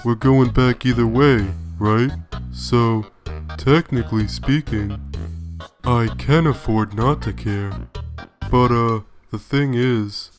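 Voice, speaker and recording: Guy doing chill skeleton wiseguy, dry and unhurried, kinda menacing, bit of music under it. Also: mid-range voice, close to an everyday voice. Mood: deadpan. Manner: deadpan, unhurried, casual. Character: laid-back wisecracking skeleton, quietly threatening. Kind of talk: fan comic dub acting. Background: faint music.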